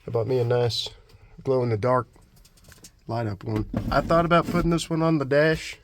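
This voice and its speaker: A man's voice: mid-range voice, fairly even intonation, normal speed, a little hiss or hum. A man chatting casually and unscripted to the camera as a DIY vlogger, in a rambling, folksy, colloquial way. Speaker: vlogger, DIY truck project host.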